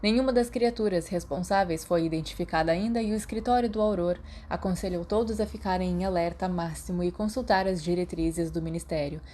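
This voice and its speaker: A woman with a Brazilian Portuguese accent narrating a fan fiction audiobook in a steady reading. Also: on the low side, a little fast, few pauses. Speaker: podfic narrator.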